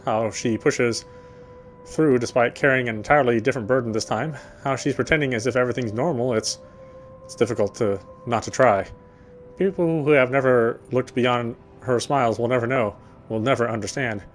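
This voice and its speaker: An actor playing a guarded, dry-witted young man who cares quietly, speaking his inner thoughts and dialogue in a wry, introspective way. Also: mid-range voice, close to an everyday voice. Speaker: game protagonist. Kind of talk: first-person inner monologue and dialogue.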